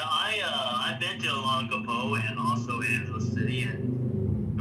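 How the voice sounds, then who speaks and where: An older man born in Taiwan hosting a casual live chat, rambling with lots of fillers and restarts. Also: medium pitch. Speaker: livestream host. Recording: roomy, distant mic, faint noise.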